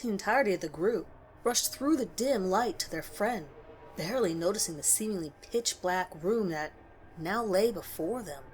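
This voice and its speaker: A woman reading a scripted scary story as narrator, in a measured, dramatic way with pauses. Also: mid-range voice, expressive, faint music behind, poor audio.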